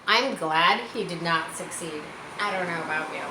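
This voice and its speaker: A woman reading a children's story aloud in an expressive, informal way, as a storybook narrator. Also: fairly low pitch, moderate pace. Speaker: storybook reader. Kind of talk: children's read-aloud with casual asides. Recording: roomy echo, faint background noise.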